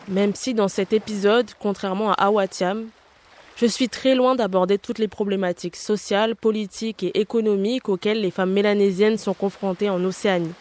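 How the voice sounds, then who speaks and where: A woman in her twenties reading a scripted personal narration in French as a podcast host, measured and reflective, in short phrases. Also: medium pitch, a little mellow. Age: 20s.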